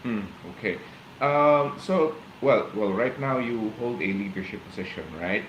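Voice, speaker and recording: A man with a Filipino accent hosting a live online interview, speaking casually and haltingly with many fillers and restarts. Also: medium pitch, expressive, highs cut off, slight background noise. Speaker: host of a live online show. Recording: roomy echo.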